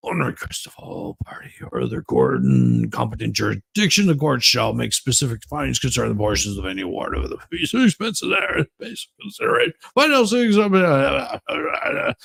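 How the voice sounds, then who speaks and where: A man's voice: mid-range voice, gravelly, breathy edge. A man reading a legal document aloud in a casual, dramatic style, with irreverent wisecracking asides. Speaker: co-host reading a court ruling aloud.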